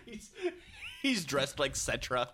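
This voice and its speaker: A man's voice: medium pitch, big pitch swings, takes it slowly, noisy background. An American man in his thirties chatting casually and jokingly as a co-host, opinionated and repeating words as he gets going. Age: 30s.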